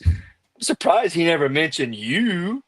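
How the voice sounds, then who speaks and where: A woman's voice: sits low, sing-song, unhurried, slightly rough, no room sound, exaggerated voice. A woman playing a polite, slightly jealous female starship doctor in a live script table read. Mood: politely probing.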